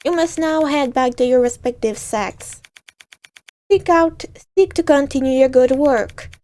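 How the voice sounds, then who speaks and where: A woman's voice: high-pitched, measured pace, dark tone. A woman voicing a stern, merciless female community leader who speaks in a commanding, scornful way for a visual novel.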